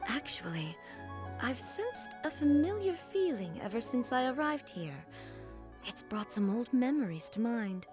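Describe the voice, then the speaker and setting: A woman playing a dignified, composed female ruler in a video game, speaking formally and politely with measured phrasing. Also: on the high side, very animated. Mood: thoughtful. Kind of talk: video game cutscene acting. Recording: music behind the voice.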